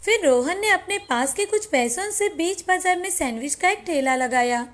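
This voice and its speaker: A woman's voice: extremely high pitch, expressive, normal speed, fairly bright tone. A woman storyteller reading a scripted moral tale as narrator. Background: faint background music.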